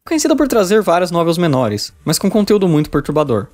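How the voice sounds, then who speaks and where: A man's voice: a little high, very animated, fast, a little mellow. A Brazilian man delivering scripted narration for a video essay. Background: music.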